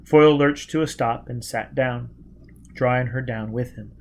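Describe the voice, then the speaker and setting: A man with a mid-range voice narrates an audiobook. His scripted reading is dramatic and expressive, with a deliberate, intense delivery. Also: medium pitch, vocal fry, a little hiss or hum.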